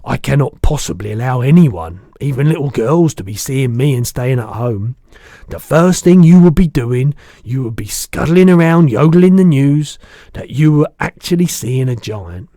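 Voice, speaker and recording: A man's voice: mid-range voice, lively intonation, moderate pace, dark tone, no room sound, clean studio sound, pitched above the performer's own voice, close to an everyday voice. A man voicing a big friendly giant from a storybook, blustering, muddled and good-natured, speaking emphatically in garbled childlike grammar. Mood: earnest, animated.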